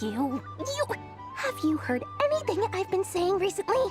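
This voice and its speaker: A woman's voice: extremely high pitch, very animated, moderate pace. A woman voicing a small, sassy, self-important but friendly merchant girl in a video game. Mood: indignant. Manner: self-important, playful, theatrical. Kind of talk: video game character acting. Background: game music.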